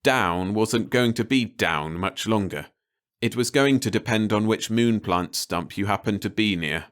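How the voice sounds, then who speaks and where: A man reading a story aloud as an audiobook narrator, in a measured, wry storytelling manner. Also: low voice, a bit bright. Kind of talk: scripted story narration, read-along audiobook.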